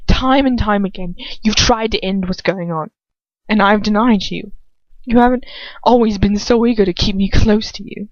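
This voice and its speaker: A woman voicing a stern, tormented man, guarded and grave, with quiet intensity in a story reading. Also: medium pitch, moderate pace, no room sound, muffled recording, close to an everyday voice. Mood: cold restraint.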